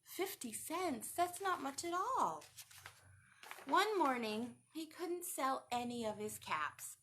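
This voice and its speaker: A high-voiced woman reads a picture book to young children. She takes it slowly, with big swoops in pitch, and often turns to the listeners with questions. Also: slight background noise. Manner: expressive, asks the listeners questions. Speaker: children's storytime reader.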